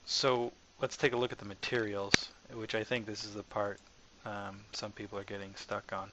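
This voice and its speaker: A man narrating a casual software tutorial as the developer, informal and hesitant, as if thinking aloud. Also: lowish voice, little pitch movement, normal speed. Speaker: software developer presenting own asset package. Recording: dull lo-fi mic with faint background noise.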